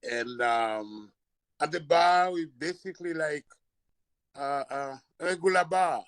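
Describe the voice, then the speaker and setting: A man's voice: on the high side, slow, dry recording. A man from Haiti, a restaurant and bar owner speaking at a public meeting on a call, hesitant and searching for words. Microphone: phone or video call.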